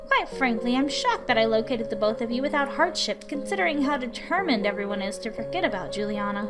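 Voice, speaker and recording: Visual novel tutor played by a woman: formal, precise, proud, high-pitched, over music. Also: animated, a little fast, close to an everyday voice. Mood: brisk, frank. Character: formal, dutiful, proud visiting tutor named Autumn.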